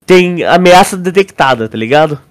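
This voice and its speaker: A Brazilian man with a higher-pitched voice talks as a podcast co-host. His style is casual and full of slang, and he rambles as he works through his theories. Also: expressive, normal speed, clear, resonant tone. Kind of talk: casual chat, theorizing about a series.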